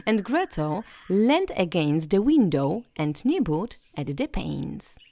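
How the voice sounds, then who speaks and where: A woman narrating a bedtime fairy tale as a storyteller, in a gentle, soothing and expressive way. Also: on the low side, slow pace, creaky, no echo.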